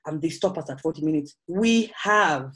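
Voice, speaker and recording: A woman's voice: deep voice, moderate pace. A Kenyan woman in her forties, a musician, talking casually and animatedly over a video call from home while answering audience questions, often restarting her sentences. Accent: Kenyan English. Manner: animated, gesturing hands, chatty with frequent restarts. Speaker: musician guest speaker. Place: home room, indoors. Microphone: video call laptop camera.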